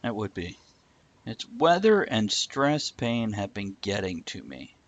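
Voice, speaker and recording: A man's voice: fairly low pitch, very animated, measured pace, highs cut off. A man co-hosting a livestream, chatting casually in a joking, bantering way. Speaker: livestream co-host. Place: indoors, live stream.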